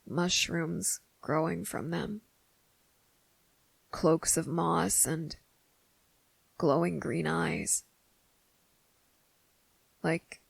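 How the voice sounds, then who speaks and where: A woman playing a wary, blunt but softening young boy in an ASMR roleplay, speaking deliberately with hesitant pauses. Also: low-pitched, creaky, husky, dry recording, full character voice, poor audio. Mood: curious, puzzled. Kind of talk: ASMR roleplay audio drama.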